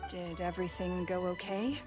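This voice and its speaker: A woman's voice: lowish voice, steady tone, moderate pace, over loud music, lightly acted. A woman playing a timid, anxious young woman in an anime game, hesitant and emotional. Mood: relieved, apologetic.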